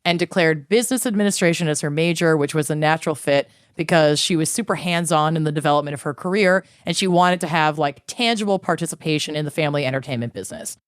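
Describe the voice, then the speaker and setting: A woman co-host recounts a story over casual podcast chat, peppering it with "like" and the odd curse. Her voice sits on the low side and sounds smooth and clear. The recording is close and dry. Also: fast, few pauses, no room sound. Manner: chatty, swears casually, uses filler "like".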